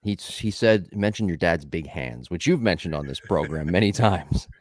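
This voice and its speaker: A low-voiced man hosts a podcast in a chatty, upbeat way, often wandering off topic. His voice is recorded close and dry, with no room sound. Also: lively intonation, normal speed, no room sound. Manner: upbeat, talkative, tangent-prone. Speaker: podcast host. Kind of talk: casual podcast chat.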